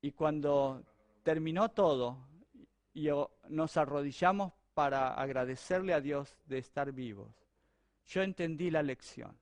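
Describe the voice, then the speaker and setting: A middle-aged Argentine man, a pastor, telling a personal testimony during a sermon in a lively, conversational way. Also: fairly high pitch, measured pace, no room sound, muffled recording. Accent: Argentine Spanish. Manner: animated, conversational storytelling to a congregation.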